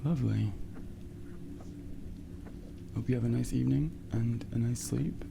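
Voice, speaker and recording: A man speaking softly and breathily, often near a whisper, in a gentle, unhurried, reassuring ASMR role play as a late-night taxi driver. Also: mid-range voice, vocal fry, background noise. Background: rain and car sounds.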